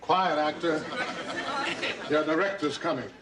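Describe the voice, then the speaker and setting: An actor playing a self-important director's assistant in a sitcom, announcing in short commands. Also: male voice, a little high, steady tone, measured pace, over a noisy crowd, lightly acted. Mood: officious. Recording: distant, echoey stage with audience noise.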